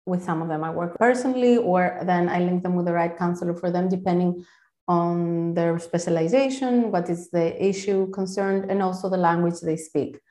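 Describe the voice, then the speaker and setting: A woman whose first language is Greek, a guest speaking quickly and eagerly in a podcast interview, often restarting her phrases. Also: on the low side, dark tone, echoey room. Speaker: guest, founder of a counselling service.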